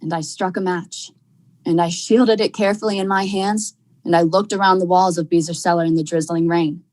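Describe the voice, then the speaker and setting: A woman's voice: fairly low pitch, fairly even intonation, fairly quick, darkish tone, natural voice. A young woman acting a tough, dismissive male crook in vintage radio drama style, with folksy slangy delivery. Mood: wry narrating. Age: young adult. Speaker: student actor.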